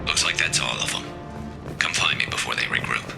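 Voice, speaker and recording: Game casting: alien soldier, low and gravelly, terse, urgent directions, loud music behind. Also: male voice, steady tone, a little fast. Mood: terse, alert. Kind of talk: video game voice acting. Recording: echoey game audio under loud music.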